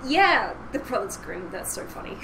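High-voiced young woman, noisy call. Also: very animated, normal speed. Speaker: podcast host. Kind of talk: casual podcast chat. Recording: clearly noisy voice-call audio.

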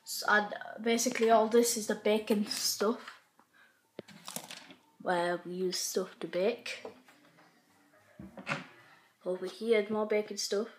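An English-accented woman strolls through her house, describing rooms in an unhurried, rambling way full of "like" and small doubts. She is recorded on a handheld phone indoors, with audible hiss and clicks from handling the device. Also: medium pitch, fairly even intonation. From England. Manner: chatty, unsure, lots of "like" fillers. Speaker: vlogger giving a house tour. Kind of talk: casual home tour narration. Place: home kitchen and living room, indoors. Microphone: handheld phone camera while walking.

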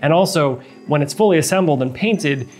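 A man's voice: a little high, brisk, darkish tone, soft background music. A man speaking as a company representative and interview guest, giving a technical product explanation in a conversational, explanatory way.

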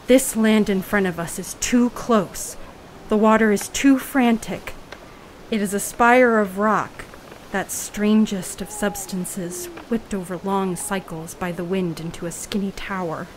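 A woman's voice: mid-range voice, unhurried, a little hiss or hum, close to an everyday voice, low-quality recording. A woman in an audio play playing a guarded, intense raft dweller of an ocean world, speaking in plain, vivid phrases. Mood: tense, fearful.

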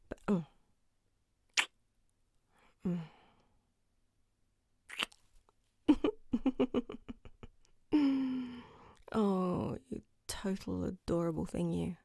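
A woman's voice: fairly low pitch, slow, pauses often, dark tone, dry recording, studio quality. A woman playing a playful, affectionate girlfriend in an intimate ASMR roleplay, with a teasing manner. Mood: reassuring, affectionate. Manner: teasing, giggly, sometimes dropping to a whisper. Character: playful affectionate new girlfriend, proud martial arts instructor. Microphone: close microphone in a dry room.

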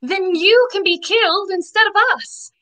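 A woman's voice: extremely high pitch, moderate pace, bright, forceful tone. A young woman playing a fearful, naive, clinging bride in melodramatic old-time radio mystery style, plaintively. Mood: naive, hopeful. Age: young adult. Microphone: video call. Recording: faint music behind.

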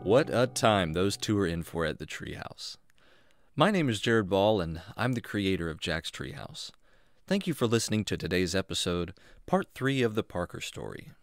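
A man's voice: sits low, sing-song, normal speed, no room sound, faint music behind. A man reading a scripted podcast outro as the show's creator and announcer.

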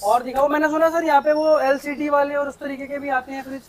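A man's voice: very high voice, flat delivery, fast talker. A man with a North Indian accent hosting a casual promotional vlog, eagerly prompting and echoing the person he talks to. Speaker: market vlog host. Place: discount electronics warehouse shop.

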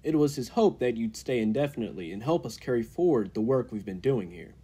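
A man playing an earnest, persuasive laboratory assistant in 1940s radio style, with a husky voice. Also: medium pitch, animated, fairly quick, mellow. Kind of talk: 1940s radio drama acting.

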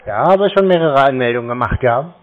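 A man's voice: medium pitch, expressive, a little fast. A man in a phone conversation, answering curtly, stonewalling and repeating himself. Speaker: call recipient baiting phone scammers. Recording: tinny phone-like call audio.

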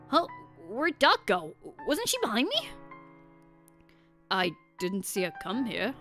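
A woman plays an earnest young penguin agent in a cartoon game. The voice is high and bright, with a gentle, caring, casual way of talking. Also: full character voice. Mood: concerned.

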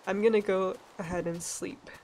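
A woman's voice: mid-range voice, fairly even intonation, moderate pace, darkish tone, a little hiss or hum, low-quality recording. Stuffy-nosed young woman, chatty gamer. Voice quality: stuffy nasal voice. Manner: chatty, rambling, laughs often. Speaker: let's play gamer. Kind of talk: relaxed gameplay commentary.

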